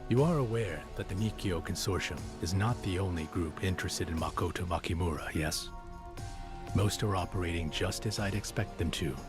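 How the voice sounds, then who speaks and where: An actor playing a composed male villain in a game cutscene, speaking in a smooth, measured, courteous way. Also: low-pitched, lightly acted. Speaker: game character. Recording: game audio under music.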